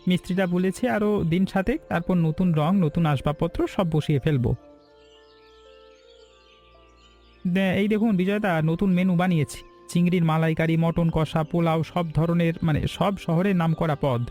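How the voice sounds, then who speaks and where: A man's voice: fairly high pitch, fairly even intonation, a little fast, dark tone, lightly acted. A man playing an eager, ambitious village business partner in a cartoon story, persuasive and respectful. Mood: proud, eager. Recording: background music throughout.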